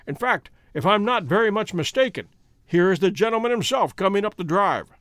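A man voicing a composed, stern detective in an audiobook, calm, precise and commanding. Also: high-pitched, a little fast, dry recording, clean studio sound, pitched above the performer's own voice. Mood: assured. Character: composed, masterful male detective, stern and assured.

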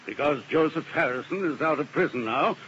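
A man's voice: fairly high pitch, lively intonation, a little fast, a bit bright, muffled recording. An actor playing a curt, irritable older businessman in a vintage radio drama, brusque and imperious. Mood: defensive. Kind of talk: 1950s radio drama acting. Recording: hissy vintage radio broadcast.

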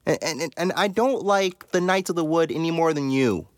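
A man's voice: a little high, moderate pace, no echo, clean studio sound, close to an everyday voice. An actor playing an anxious, bookish young man in a fantasy audio drama, hesitant and protesting. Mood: indignant.

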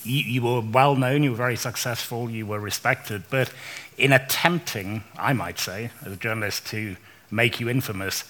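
A man interviewing a guest at a live festival event, asking hesitant, halting questions full of ums. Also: fairly low pitch, pushed and bright.

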